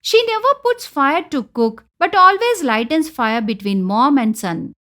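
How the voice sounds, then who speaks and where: An aging mother-in-law from an animated Indian moral tale, acted by a woman. She has an Indian accent and exclaims and complains in a very animated way, bitter but loving underneath. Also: sits high, measured pace. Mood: bitter, reproachful.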